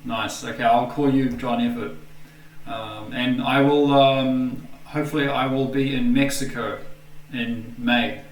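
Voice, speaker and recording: A man's voice: medium pitch, fairly even intonation, measured pace, echoey room, distant mic, poor audio. A man with a New Zealand accent chatting casually and rambling on a video call, with lots of fillers. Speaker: host.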